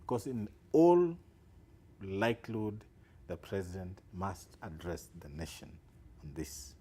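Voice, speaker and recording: A man's voice: low-pitched, very animated, a little slow, warm tone, slightly rough. A man, a security analyst guest on live TV news, explaining with assurance and emphatic rises.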